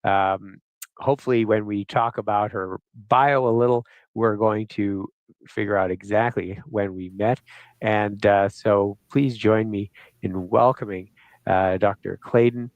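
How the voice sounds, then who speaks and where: A middle-aged man hosting a show, friendly and a little halting, with many fillers, speaking over a video call. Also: fairly low pitch, steady tone, no echo, poor audio. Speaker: host. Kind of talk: interview hosting.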